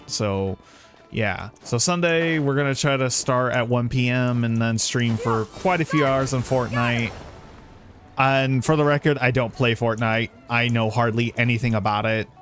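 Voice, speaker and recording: A man chatting casually as a game streamer, informal and rambling, often halting mid-thought. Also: mid-range voice. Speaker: video game livestreamer. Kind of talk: casual livestream chat.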